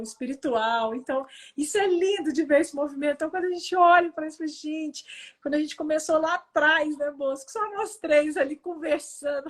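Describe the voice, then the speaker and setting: A woman with a Goiás Brazilian accent hosting a casual live stream, effusive and thankful, often checking for agreement as she talks. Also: sits high. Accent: Goiás Brazilian Portuguese. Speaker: host, quantum therapy teacher.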